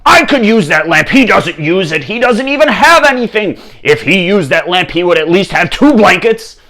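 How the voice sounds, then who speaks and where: Storybook king, played by a male storyteller: grandiose, demanding, greedy, pitched well up, wildly animated delivery. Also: unhurried. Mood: furious, commanding. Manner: grandiose, demanding, prone to frenzy. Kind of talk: storytelling character voice.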